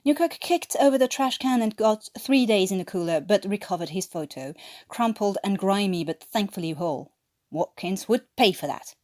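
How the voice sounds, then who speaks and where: A woman's voice: medium pitch, animated, moderate pace, a little mellow, no echo, studio quality. A woman from France, a native French speaker with a French-tinged English accent, reading a scripted story as an amateur narrator. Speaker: amateur podfic reader.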